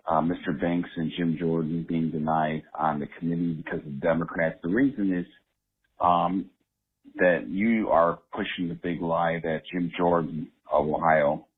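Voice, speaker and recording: Deep husky man on a phone line. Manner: halting, combative. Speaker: caller. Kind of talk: angry political voicemail.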